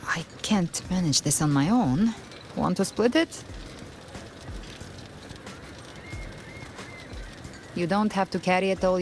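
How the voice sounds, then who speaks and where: An actress playing a self-reliant young female adventurer, calm and gently supportive, in a video game. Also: on the low side. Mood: gently teasing.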